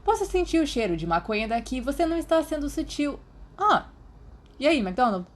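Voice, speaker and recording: A woman's voice: sits high, sing-song, brisk, pitched above the performer's own voice. A woman with a Brazilian Portuguese accent voicing a playful, dramatic teenage boy with a whiny edge. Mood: teasing. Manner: whiny, theatrical.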